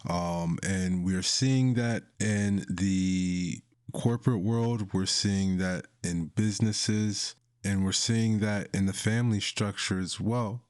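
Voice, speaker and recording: A man hosting a casual podcast discussion, speaking in a deliberate, opinionated way. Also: sits low, darkish tone, clean tone, no echo, clean studio sound. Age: young adult to middle-aged. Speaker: podcast host.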